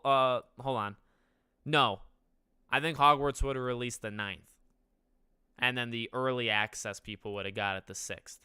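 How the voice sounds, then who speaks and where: A man's voice: mid-range voice, sharp tone, clean tone, no echo, studio quality. A young man with a nasal voice, hosting a casual gaming live stream from his living room, rambling and asking his chat questions. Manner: conversational, rambling with restarts, asks chat questions. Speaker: gaming YouTuber streaming host. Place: living room with couch behind.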